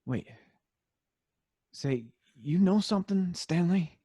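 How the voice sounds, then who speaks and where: An actor playing a nervous, grumbling accomplice in an old-time radio drama, stammering and complaining. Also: male voice, high voice, animated, slow, mellow, breathy edge, no room sound, clean studio sound, full character voice. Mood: alarmed.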